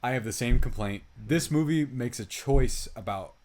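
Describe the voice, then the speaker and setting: Guy cohosting a movie review podcast, exasperated n emphatic, medium pitch, swears a lot, super casual. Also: normal speed, a little mellow. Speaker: podcast co-host reviewing films. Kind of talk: casual film review chat.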